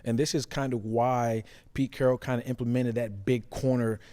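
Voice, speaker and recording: A man's voice: medium pitch, flat delivery, moderate pace, mellow. A man talking casual sports analysis as a former player, making emphatic points and repeating phrases for stress. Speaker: former safety, show analyst.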